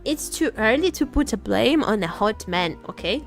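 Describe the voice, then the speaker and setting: Female streamer, slightly high-pitched, casual and teasing, rambling reactions, music underneath. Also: expressive, normal speed, gravelly. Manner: playful, teasing, rambling, repeats phrases. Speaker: VTuber streamer. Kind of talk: casual livestream game chat and reactions. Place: indoor home streaming setup.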